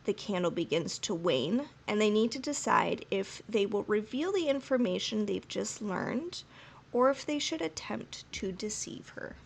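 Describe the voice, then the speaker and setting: A woman's voice: mid-range voice, lively intonation, unhurried, creaky, husky. A woman co-hosting a podcast, chatting casually with jokes and laughter, then reading a plot summary. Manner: laughing, joking, rambling. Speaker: podcast co-host. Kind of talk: casual chat and episode summary.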